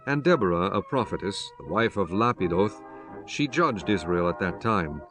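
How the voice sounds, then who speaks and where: A man narrating scripture from a script in a measured, solemn manner with archaic biblical wording. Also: on the low side, lively intonation, over music. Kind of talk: scripted scripture narration, King James Bible.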